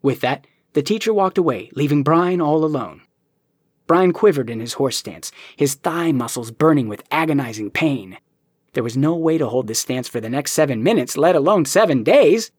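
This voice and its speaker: A man narrating an audiobook in an even storytelling manner. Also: fairly high pitch, normal speed, husky, no echo, studio quality.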